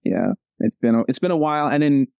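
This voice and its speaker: A man's voice: medium pitch, expressive, fast talker, creaky, darkish tone, clear, resonant tone, no echo, highs cut off. A man chatting casually as an interview guest, rambling a bit and often correcting himself mid-sentence. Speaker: comic strip cartoonist, interview guest.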